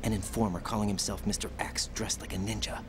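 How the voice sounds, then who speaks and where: A man's voice: on the low side, little pitch movement, quick pace, background noise, close to an everyday voice. An actor playing a young male special-forces operative in a video game cutscene, earnest and full of pointed questions. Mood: hushed, urgent.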